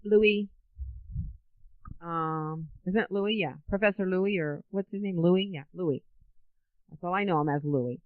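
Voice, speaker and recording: A woman's voice: on the low side, unhurried, pauses often, no echo. A middle-aged woman answering as an interview guest, casual and playful, in short, blunt phrases. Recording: tinny, narrow phone-like line.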